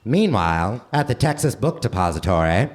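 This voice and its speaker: A man announcing in mock old-time radio style, with exaggerated, drawn-out salesmanship. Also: on the low side, very animated. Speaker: radio show announcer and host. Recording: live theater stage, faint noise.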